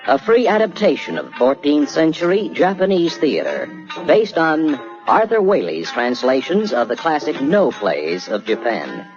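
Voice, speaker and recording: A man's voice: fairly high pitch, very animated, measured pace. A man announcing a radio drama in a formal, theatrical old-time broadcast style. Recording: dull vintage radio recording, faint music.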